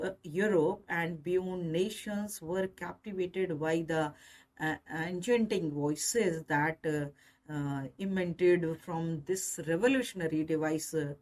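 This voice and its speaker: A woman delivers a scripted academic lecture at a very unhurried pace. Her voice sits a little low for a woman. She phrases deliberately and haltingly, and the sound is dry, with very little room echo. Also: a little mellow.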